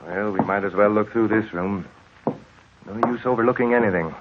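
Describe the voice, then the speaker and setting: An actor playing a steady, skeptical man who reasons with his friend, in measured, stagey 1940s radio drama style. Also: on the low side, phone-quality sound, lightly acted. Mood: calm, reasoning. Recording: thin, hissy 1940s radio recording.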